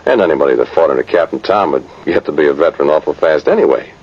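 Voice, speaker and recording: A man's voice: very deep voice, lively intonation, fast talker, breathy edge, full character voice. An American man playing a folksy, plain-spoken old cavalry sergeant telling his tale in a vintage radio drama. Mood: reminiscing. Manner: rustic, rambling, plain-spoken old soldier. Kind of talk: 1950s radio drama narration. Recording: muffled noisy vintage radio recording.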